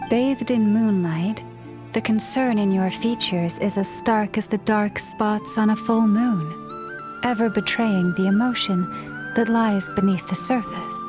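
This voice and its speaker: A serene young moon priestess played by a woman, speaking slowly and formally. Her delivery is poetic and composed, in video game fantasy style with clear music behind. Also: mid-range voice, lightly acted. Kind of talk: video game cutscene acting.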